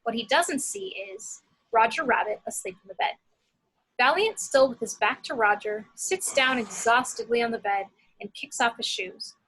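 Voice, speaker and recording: A woman's voice: on the high side, normal speed, fairly bright tone, slightly rough, low-quality recording. A woman reading scripted action descriptions as the narrator of a screenplay table read.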